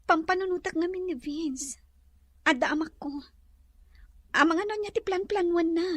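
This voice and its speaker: A woman playing a gentle, repentant lady of the mansion in an audio drama, soft and earnest. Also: very high voice, moderate pace, no echo. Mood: anxious.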